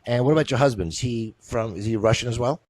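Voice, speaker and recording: A man's voice: mid-range voice, fast talker, a little mellow, dry recording. A man hosting an interview on a video call, jokey and rambling, often repeating himself. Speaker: interview host. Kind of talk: casual interview.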